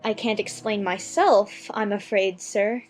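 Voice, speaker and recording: A woman's voice: mid-range voice, steady tone, unhurried, soft background music, close to an everyday voice. A woman playing an earnest, puzzled little girl in a dramatized audiobook, with a polite, hesitant, musing manner. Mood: shy, polite. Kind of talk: dramatized audiobook character acting.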